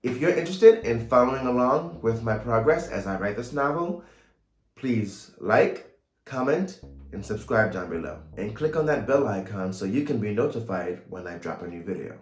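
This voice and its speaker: A man in his thirties talking casually to camera in a vlog, measured, pausing between phrases. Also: medium pitch, animated. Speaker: writer and vlogger. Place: small home room, indoors. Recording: roomy echo, light music behind.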